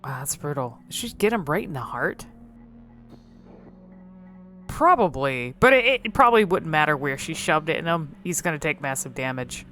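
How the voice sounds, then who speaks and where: Low-pitched woman musing over game cutscenes. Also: expressive, fairly bright tone, faint music behind. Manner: musing aloud, halting, sweary. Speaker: video game commentator. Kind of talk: casual unscripted commentary on game cutscenes.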